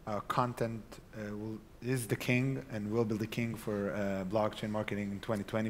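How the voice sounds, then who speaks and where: A man moderating a conference panel, earnest and emphatic with some repeated words, on a large hall stage with a handheld mic. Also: mid-range voice. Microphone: handheld microphone.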